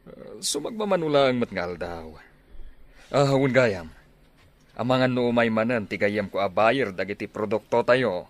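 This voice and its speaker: A man playing a plain-spoken, protective village chieftain father in a radio drama, with a Filipino accent and a measured delivery. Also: on the low side, lively intonation. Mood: fatherly, instructing.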